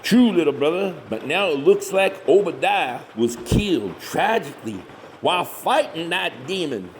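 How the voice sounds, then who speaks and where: Bossy high-pitched hillbilly monster hunter. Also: male voice, big pitch swings, normal speed, a little hiss or hum. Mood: smug, persuasive. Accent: rural Southern US, hillbilly dialect. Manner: folksy, self-righteous, glib. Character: bossy, shameless hillbilly monster hunter, scheming older brother. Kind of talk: comedy horror audio drama acting.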